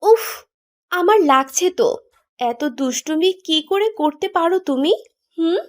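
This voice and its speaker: A woman's voice: extremely high pitch, expressive, slow, no room sound, exaggerated voice. A woman playing a pouty, teasing young woman in love who scolds and complains in a romantic audio story. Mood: playful scolding. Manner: complaining, playfully indignant.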